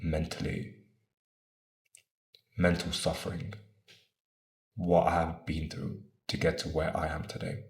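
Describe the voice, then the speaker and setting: Man in his twenties with a deep voice, earnest and rambling, talking to camera in a roomy space. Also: monotone, a little slow, vocal fry, long pauses. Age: 20s. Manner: earnest, rambling, often adds "right?"; casual swearing. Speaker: vlogger. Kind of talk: casual talk to camera. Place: room in Bogota.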